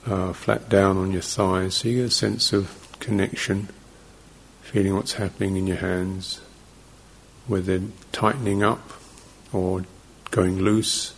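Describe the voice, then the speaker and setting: A man with a lowish voice leads a guided meditation at a very slow pace. He gives gentle instructions in short fragments, leaving long pauses between them. His delivery is very even and flat, over a faint background noise. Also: gravelly. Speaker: meditation teacher.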